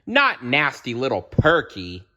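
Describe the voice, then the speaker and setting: A man's voice: mid-range voice, wildly swooping pitch, unhurried, bright, forceful tone, muffled recording, pitched above the performer's own voice, exaggerated voice. A man voicing a cheeky, blunt little sister in a children's storybook.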